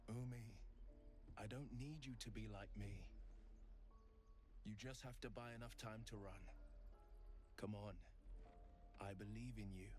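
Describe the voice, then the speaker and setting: Guy acting noble hero in a game, slow lowish voice, earnest, bit of music behind. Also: steady tone, a little mellow, natural voice. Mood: encouraging. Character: gallant monster-hunting hero coaching and recounting his quest.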